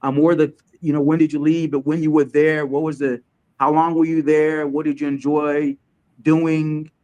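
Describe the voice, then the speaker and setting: A man from Chad hosting an online talk show, speaking casually with frequent restarts and pauses as he sets things up and welcomes viewers. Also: a little high, level tone, darkish tone, lo-fi sound. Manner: halting, many restarts while setting up. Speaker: host. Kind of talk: casual livestream interview hosting.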